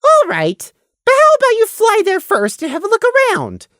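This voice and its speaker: A man's voice: very high-pitched, wildly swooping pitch, normal speed, a bit bright, dry recording, studio quality, pitched above the performer's own voice, heavily acted. A man voicing a small, paranoid male lamb who grumbles with suspicion and resentment in an audio storybook. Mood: wary, insistent. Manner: scowling, insistent.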